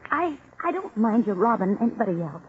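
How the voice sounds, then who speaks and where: Slangy, emotional crook's girlfriend, tough and wounded; high, animated voice, old muffled radio sound. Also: a little fast. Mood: bitter, accusing. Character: tough, hurt young dame, small-time crook's accomplice. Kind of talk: 1940s radio crime drama acting. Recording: muffled vintage 1940s radio recording.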